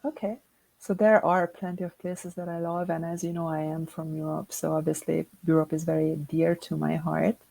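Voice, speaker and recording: Low gravelly woman from Hungary. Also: little pitch movement, moderate pace, mellow, low-quality recording. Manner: upbeat, laughs often, repeats words when starting sentences. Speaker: interview guest. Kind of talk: casual interview chat.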